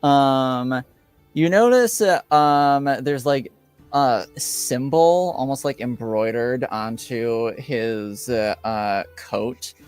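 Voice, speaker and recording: A man improvising narration as game master of a tabletop roleplaying game, halting and searching for words. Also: mid-range voice, darkish tone, clear, resonant tone, no room sound, faint music behind.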